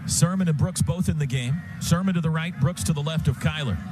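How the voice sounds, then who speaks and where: An American man calling live football on the radio in clipped phrases, lifting with excitement as plays open up. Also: on the high side, fairly quick. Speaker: radio play-by-play announcer. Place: stadium radio booth. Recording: loud stadium noise behind broadcast booth. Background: loud outdoor stadium ambience.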